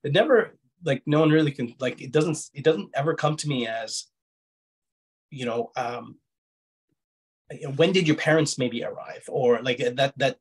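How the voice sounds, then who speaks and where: A middle-aged man with a slight foreign accent giving reflective interview answers over a video call, explaining himself hesitantly and often rephrasing. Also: mid-range voice. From Egypt, Kuwait and Canada. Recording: husky-sounding video call audio.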